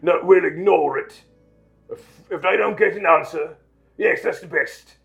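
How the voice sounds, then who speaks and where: A man's voice: high-pitched, a little slow, gravelly, a bit bright, highs cut off, soft background music, voice pushed up, full character voice. A man voicing a blustering, bullying middle-aged uncle from a storybook, sneering, spluttering and barking his words. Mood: agitated muttering.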